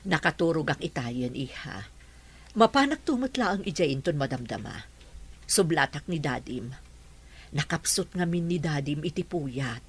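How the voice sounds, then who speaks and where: A woman's voice: low-pitched, sing-song, moderate pace, breathy edge, heavily acted. A woman playing a caring, worried middle-aged mother in a radio drama. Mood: concerned.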